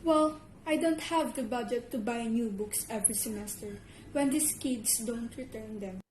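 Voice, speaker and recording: A woman's voice: fairly high pitch, moderate pace, a little mellow, close to an everyday voice. A woman acting a cynical, dismissive school staffer in an amateur stage play. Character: cynical, dismissive school librarian or administrator guarding her books. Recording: echoey room with background noise.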